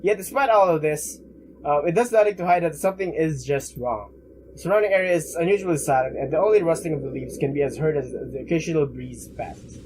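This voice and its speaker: A young man doing a let's play, haltingly reading game text aloud with casual commentary. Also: fairly high pitch, darkish tone, a little hiss or hum, poor audio. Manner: halting, stumbles while reading.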